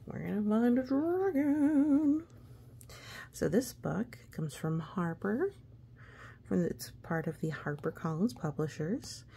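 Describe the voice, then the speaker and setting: A woman's voice: lowish voice, gravelly, dark tone, a little hiss or hum. A woman reading a children's story aloud with playful, exclamatory asides, talking as if to a young child. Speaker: picture book reader.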